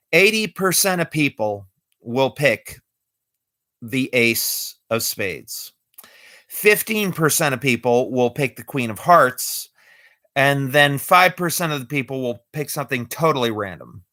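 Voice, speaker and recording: A man's voice: medium pitch, animated, a little slow, pushed and bright, no room sound. A man chatting casually on a live stream, explaining his magic tricks step by step. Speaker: amateur magician.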